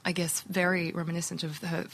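Chatty Australian woman, slightly low voice. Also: level tone, brisk, a bit bright, slight background noise. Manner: chatty, laughs easily, trails off mid-thought. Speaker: actress guest. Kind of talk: casual podcast interview.

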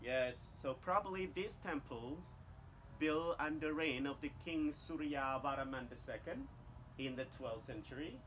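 A man's voice: a little high, a little slow, a bit bright, a little hiss or hum, low-quality recording. A Cambodian man in his thirties, a tour guide speaking careful non-native English while explaining a temple outdoors to the camera. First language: Khmer. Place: outdoors among jungle temple ruins.

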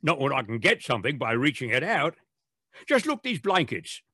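A smug, callous undertaker's man in a radio play. His voice is raspy and sharp, with lively, sardonic swings of pitch. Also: normal speed, no room sound, studio quality, full character voice.

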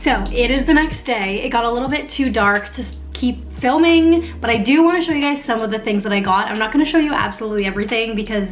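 A young woman from North America talks to her viewers in a relaxed, chatty vlog. Her voice sits a little high, and she keeps things bright and upbeat with plenty of easy "like" fillers. Faint music plays softly underneath. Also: quick pace, hardly any pauses. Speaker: vlogger. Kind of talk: casual vlog chat.

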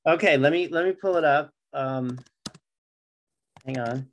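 A man's voice: on the high side, unhurried. Middle-aged man, casual interview guest. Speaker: guest, writer. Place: indoors, on a video call. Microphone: video call microphone.

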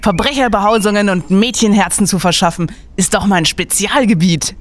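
A woman's voice: medium pitch, normal speed, close to an everyday voice. A woman playing a cheeky, boastful young male student sidekick in an audio drama, mock-grand and playful. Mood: cocky.

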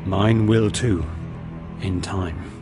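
An actor playing a steady, concerned male warrior in an audio drama, with solemn, measured, formal speech. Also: low-pitched, music in the background. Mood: grave concern.

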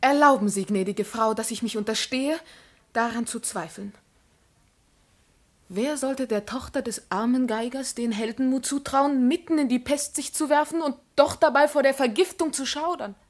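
Slightly high female voice, acted humble young maiden, proudly defiant, formal declamation, 1950s radio drama. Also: moderate pace, natural voice. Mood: respectfully defiant. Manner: earnest, formal, declamatory delivery. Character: young, virtuous musician's daughter, humble yet proudly defiant.

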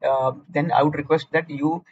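A man's voice: a little high, level tone, normal speed, darkish tone, breathy edge, lo-fi sound. A man with an Indian accent tutoring through exam questions, blunt and repetitive, scolding the listener at times. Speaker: cloud certification tutor. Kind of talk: screen-recorded exam walkthrough.

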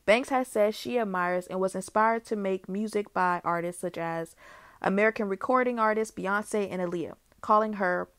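A young woman narrates a biography for her channel at a mid pitch, reading steadily and now and then restarting a phrase. The sound is dry, with no room echo. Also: moderate pace. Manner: reads steadily, with small restarts. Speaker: YouTube host. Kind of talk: read-aloud biography narration.

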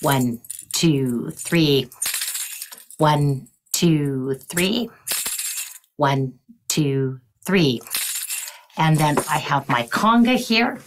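An older woman music educator leading a webinar demonstration, her voice croaky, often clearing her throat and counting beats aloud. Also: deep voice, animated, very slow, pauses often, a little hiss or hum, poor audio.

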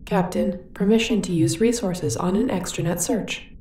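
A woman playing a polite, literal-minded female ship's AI in a sci-fi audio roleplay, formal, precise and deadpan. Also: mid-range voice, normal speed, lightly acted. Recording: roomy echo, distant from mic.

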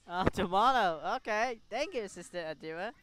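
A man hosting a gaming video, reading game text aloud and commenting in short, halting reactions. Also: sits high, animated, background noise.

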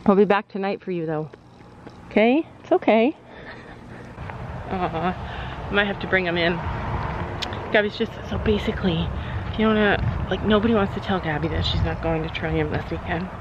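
A woman's voice: on the low side, moderate pace, pauses often. Chatty woman filming herself at arm's length. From Canada. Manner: chatty, rambling, coos at animals. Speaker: vlog host, mother. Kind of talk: casual vlog chat. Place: home office, then car and horse barn. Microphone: handheld camera at arm's length. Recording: dull lo-fi camera audio, faint background noise.

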